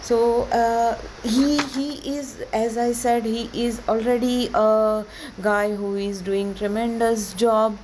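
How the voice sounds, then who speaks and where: A woman in her thirties with an Indian accent, giving a prepared slide presentation in a halting way with frequent hesitations. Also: fairly high pitch, little pitch movement, noisy background. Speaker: conference presenter, digital agency owner.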